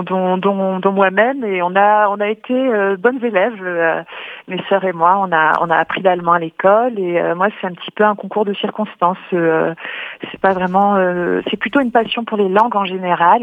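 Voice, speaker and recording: A woman's voice: on the low side, no room sound. A woman author answering radio interview questions, hesitant, with many fillers and restarts. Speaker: author and translator, interview guest. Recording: tinny phone-like line, dry.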